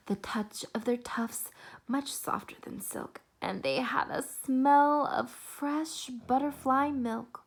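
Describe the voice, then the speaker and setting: A woman reading a story aloud to young children, expressive, with dramatic pauses, questions to the listeners and a breathy voice that often drops toward a whisper. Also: high-pitched. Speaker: preschool teacher reading a picture book.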